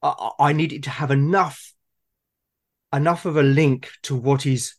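A man's voice: a little high, steady tone, moderate pace. A man in a casual discussion about gaming, speaking hesitantly and repeating words as he thinks. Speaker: tabletop gaming podcast speaker.

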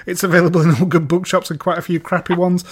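A man's voice: high-pitched, little pitch movement, fast, a little hiss or hum. A man hosting a football podcast, chatting enthusiastically with a guest and often repeating words as he starts a thought. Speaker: podcast host. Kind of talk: casual interview chat.

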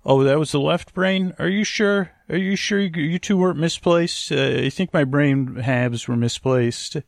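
Male sleep-podcast host, creaky mid-range voice, dry close sound, meandering and halting, full of self-interruptions and absurd tangents. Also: on the high side, no echo.

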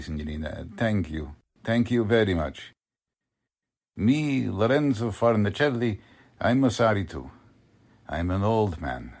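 A grieving old Italian father in an old-time radio play. His low voice rises and falls in lively swings. He speaks a humble, resigned broken English with a thick Italian accent. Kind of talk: 1940s-style radio drama acting.

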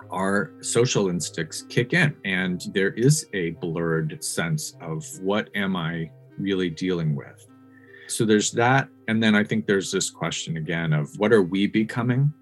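A man speaking as an interviewed researcher in a thoughtful discussion, reflective and given to posing rhetorical questions. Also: sits low. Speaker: interviewed researcher on ethics of technology.

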